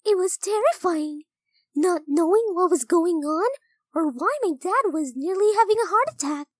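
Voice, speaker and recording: Gentle cartoon mare, female actor: extremely high, youthful, earnest comforter, dry sound. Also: animated, normal speed, no room sound. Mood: gentle reassurance. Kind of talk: animated audio drama acting.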